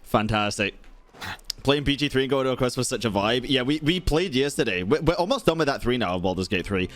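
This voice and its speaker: Animated, slangy male game streamer. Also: medium pitch, fairly quick. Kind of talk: casual live gameplay reaction. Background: faint game music.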